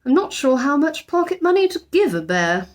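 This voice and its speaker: A woman voicing a cautious, easily beaten middle-aged father in a storybook, with a hesitant, measured manner. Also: high voice, sing-song, pitched above the performer's own voice. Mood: doubtful.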